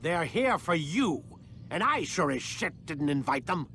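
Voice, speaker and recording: An actor playing a cold, domineering scientist villain in a video game, curt and sneering. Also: male voice, fairly high pitch, very animated, measured pace, sharp tone, soft background music, full character voice. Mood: irritated, menacing. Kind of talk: dramatic video game acting.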